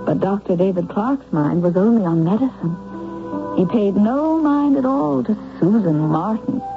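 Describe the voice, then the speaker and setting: A woman's voice: mid-range voice, expressive, moderate pace, gravelly, music in the background. A young, romantic nurse telling her own story in a vintage radio drama. Her earnest, wistful voice comes through a thin, tinny broadcast. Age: young adult. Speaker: nurse and narrator. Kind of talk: 1970s radio drama narration.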